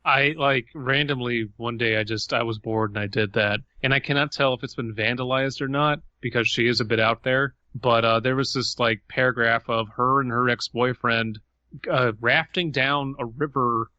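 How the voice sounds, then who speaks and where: A man's voice: mid-range voice, fairly even intonation, moderate pace, pushed and bright, no room sound, muffled recording. A man co-hosting a podcast, mixing irreverent, sweary banter with casual reading aloud. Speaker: podcast co-host.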